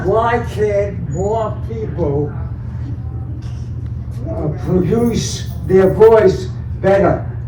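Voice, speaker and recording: A man's voice: sits high, very slow, pauses often. An older man who is hard of hearing, a resident making a plain-spoken complaint. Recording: echoey room, distant mic, noise.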